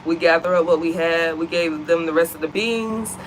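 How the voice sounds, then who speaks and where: American lady chatting to y'all from home, lively and talky, bit of hiss behind. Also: lowish voice, moderate pace, low-quality recording. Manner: chatty, animated, addresses viewers as y'all. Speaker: vlog co-host. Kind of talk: casual chat to viewers. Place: at home, seated.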